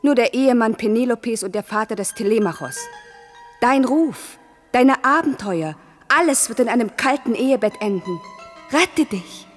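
An actress as insistent temptress with faint music. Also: female voice, on the high side, expressive, moderate pace, lightly acted. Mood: urgent, commanding. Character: seductive, urgent temptress of adventure and freedom. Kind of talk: theatrical German radio play dialogue.

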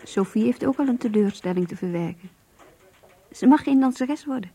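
Husky, confiding young woman diarist. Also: on the high side, animated, moderate pace, mellow, no room sound. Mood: matter-of-fact, rueful. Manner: intimate, reflective, confiding. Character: earnest, introspective young woman confiding in her diary. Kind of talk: radio drama diary monologue.

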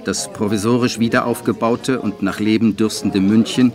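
An actor playing a wry, detached screenwriter who narrates a radio drama in a measured, dry, literary way. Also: male voice, on the low side, steady tone, darkish tone, natural voice. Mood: reflective. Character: male screenwriter narrating his postwar schemes, wry and detached. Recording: studio radio play over music.

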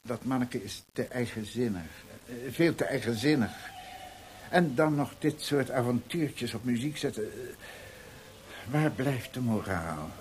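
An actor in a radio play voicing a scornful, moralising, nosy theatre official, disdainful and knowing. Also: male voice, medium pitch, animated, a little slow, natural voice. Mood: disapproving. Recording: noisy archived radio play recording.

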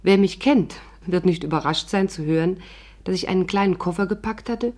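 A woman's voice: fairly low pitch, brisk, darkish tone, natural voice. A woman playing a wry, hard-up stage actress who narrates her adventure in a 1950s German radio drama. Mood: wry, confiding.

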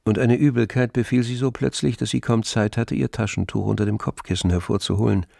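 A man narrating a literary radio play in a measured, sober storytelling manner. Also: mid-range voice, steady tone, vocal fry, dark tone, dry recording. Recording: close dry studio, music underneath.

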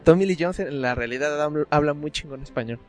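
A man's voice: fairly high pitch, fairly quick, darkish tone, faint music behind. A man with a Mexican accent co-hosting a podcast, recapping a film in casual, slangy, profane and joking talk. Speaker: podcast co-host.

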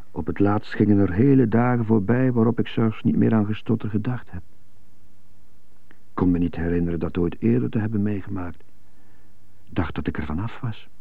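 An actor playing an indignant, brooding stuttering prisoner who mutters to himself in a radio drama monologue. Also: male voice, lowish voice, gravelly, husky, no echo. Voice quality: stutter on some words. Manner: muttering, self-questioning monologue in short bursts. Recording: muffled narrow phone-like sound, music behind.

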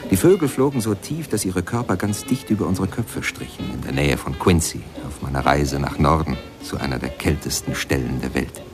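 An actor playing a reflective former steamboat pianist who tells his memories in measured, lyrical radio drama narration. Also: rumbling low, animated, music in the background. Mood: dreamy, reflective. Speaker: narrator and protagonist of a radio play.

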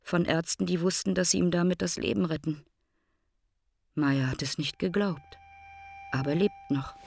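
A woman's voice: fairly low pitch, fairly even intonation, moderate pace, gravelly, darkish tone, husky, no echo. A woman narrating a scripted literary radio drama in terse, rhythmic, repetitive phrases.

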